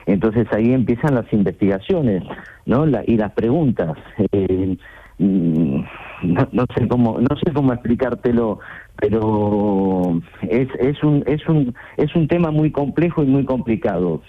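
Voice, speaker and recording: Phone-in guest: male, middle-aged, thin telephone sound, conversational, halting and repetitive delivery. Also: medium pitch, expressive. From Argentina. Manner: halting, repeats words and phrases. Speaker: radio call-in guest, sales representative. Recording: tinny phone line into radio show.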